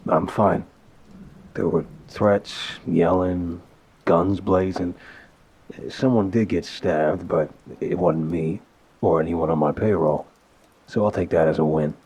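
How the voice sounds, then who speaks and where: A low-pitched, heavily husky mafia boss played by a man speaks as if on a private call to a partner. He is gentle and protective, choosing his words with hesitant pauses. The delivery is an intimate, apologetic ASMR audio roleplay. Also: steady tone, exaggerated voice.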